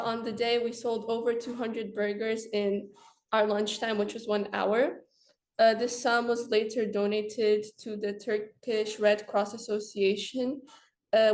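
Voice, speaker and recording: A woman's voice: on the high side, darkish tone. A teenage girl from Slovakia and Portugal reading out a prepared project presentation as a student presenter, careful and starting many phrases with "uh". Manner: careful, with frequent "uh" before phrases. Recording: roomy echo.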